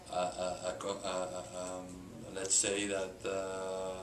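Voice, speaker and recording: A middle-aged Spanish-accented man with a very low, gravelly voice talks in a flat, even way, stopping often to search for words. He is recorded in an echoey room with a steady hiss behind him. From Spain. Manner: halting, many filler "uh"s and restarts. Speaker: company president, interview guest. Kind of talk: hesitant unscripted interview answers.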